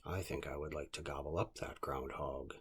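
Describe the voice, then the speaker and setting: A man voicing a scheming, hungry wolf, the mild villain of a children's storybook, speaking deliberately. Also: a bass voice, steady tone, gravelly, slightly rough, exaggerated voice.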